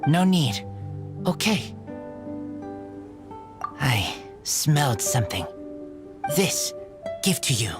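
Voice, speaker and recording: A feral, earnest teenage boy, speaks slowly in short broken phrases for a video game. His pitch moves around a lot while he stays terse and halting. Music plays clearly behind. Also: sing-song. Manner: halting, clipped broken sentences. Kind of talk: game voice acting.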